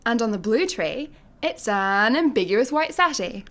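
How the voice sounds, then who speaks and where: Woman as a highish-voiced, sarcastic space janitor. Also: sing-song, measured pace, fairly bright tone. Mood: exasperated, dry. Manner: wry, dry, articulate. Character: exasperated, sarcastic, brainy female space janitor. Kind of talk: sci-fi comedy radio play.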